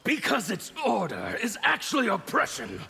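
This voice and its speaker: A cavernous, reverberant voice of a wrathful revolutionary villain. It is high and extremely gravelly, declaimed with fanatical defiance in video game style. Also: male voice, huge pitch swings, moderate pace, sharp tone, soft background music, exaggerated voice. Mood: contemptuous. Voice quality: gravelly, strained. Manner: theatrical, declamatory. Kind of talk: fighting game character dialogue. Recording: long reverb, faint background noise.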